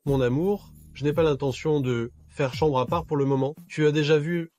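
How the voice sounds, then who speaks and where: A man plays a composed young husband with a mellow, warm voice. He speaks calmly and teases gently. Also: a little high, normal speed, dry recording, studio quality, natural voice. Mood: coaxing. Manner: calm, composed, teasing. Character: calm, secretly rich young husband, teasing.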